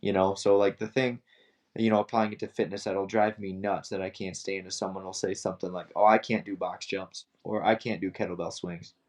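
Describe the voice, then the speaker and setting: Laid back guy with a lowish voice cohosting a fitness podcast, kinda wanders off mid sentence. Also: level tone, brisk. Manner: easygoing, sidetracked, trails off mid-thought. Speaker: podcast co-host. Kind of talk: casual fitness podcast chat.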